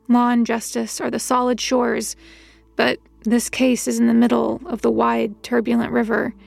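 Earnest female investigator's assistant, higher voice with heavy fry, introspective audio drama narration. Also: a little mellow, no room sound. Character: earnest, reflective, conscientious female detective's assistant.

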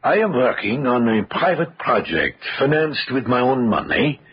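A husky, exacting old scientist. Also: male voice, mid-range voice, big pitch swings. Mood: frank, intent. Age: older. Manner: formal, deliberate, hesitant filler-laden phrasing. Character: elderly, exacting scientist and father, courteous but intense. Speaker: radio actor. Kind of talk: old-time radio drama acting. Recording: dull vintage radio recording.

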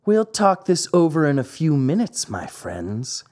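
Reader as an earnest, proud puppet boy, indignant, lively. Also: medium pitch, a little slow. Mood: wary.

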